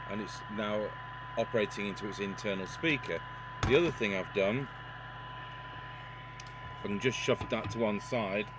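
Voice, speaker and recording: A man gives an unscripted hands-on repair demonstration, matter-of-fact and thinking aloud as he works. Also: on the low side. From United Kingdom. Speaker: radio repair technician and presenter. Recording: noisy workshop recording with electrical hum.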